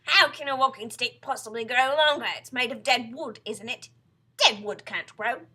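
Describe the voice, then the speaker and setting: A woman voicing a cruel older husband from a children's storybook, who speaks in emphatic, drawn-out, mock-solemn pronouncements. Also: on the high side, bright, forceful tone, breathy edge, pitched above the performer's own voice. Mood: scornful, gloating. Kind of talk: children's storybook character reading.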